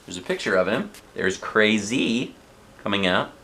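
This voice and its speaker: A man's voice: lowish voice, big pitch swings, normal speed, a bit bright, slight background noise, poor audio. A man reading a children's book aloud as a dad would, expressively acting out the story.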